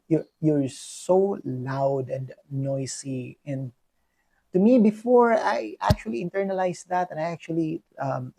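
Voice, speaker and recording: A man's voice: a little high, warm tone. A young adult man from the Philippines with a Filipino accent, talking earnestly in a personal reflection vlog, full of ums and restarts. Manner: many fillers and restarts, earnest. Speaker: vlogger.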